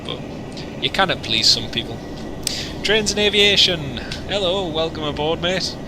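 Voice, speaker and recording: A man's voice: a little high, normal speed. A man chatting casually on a flight sim livestream, joking and rambling between asides. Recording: noisy, with engine hum.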